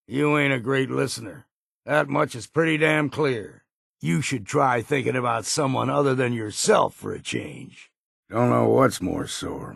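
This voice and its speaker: An actor playing a gruff, weary old hunter in a video game, grumbling and wary in a folksy, plainspoken rustic drawl. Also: male voice, lowish voice, no echo, clean studio sound, exaggerated voice. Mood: stern, disapproving, then tired grumbling. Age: older. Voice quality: gravelly, husky. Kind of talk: video game character voice lines.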